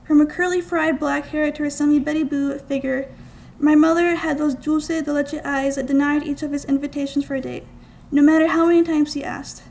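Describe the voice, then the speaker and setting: A young woman from the United States reading her own writing aloud at a live event indoors, with deliberate, expressive phrasing. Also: high-pitched, gravelly, poor audio. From United States, small-town Minnesota. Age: young adult. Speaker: student writer reading own work. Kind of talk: scripted literary reading aloud. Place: college art gallery, indoors.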